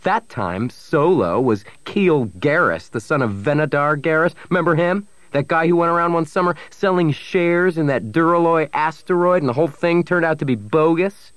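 A man's voice: lowish voice, big pitch swings, moderate pace, no echo. A man voicing a hot-headed, suspicious grown son, agitated and insistent, in a story.

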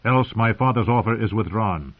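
A man's voice: lowish voice, normal speed, narrow, tinny audio, voice pushed up, close to an everyday voice. A man narrating an audiobook as a curt, blunt, cold soldier who pushes his point. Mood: curt, pushy.

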